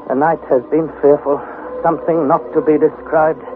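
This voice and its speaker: A man's voice: a little high, level tone, normal speed, close to an everyday voice. Man acting an anxious young adventurer, tinny, noisy recording. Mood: weary, grave. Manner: theatrical, intense, descriptive. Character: anxious young nephew narrating a perilous sea voyage. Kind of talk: dramatised first-person journal narration. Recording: tinny narrow band, heavy background noise.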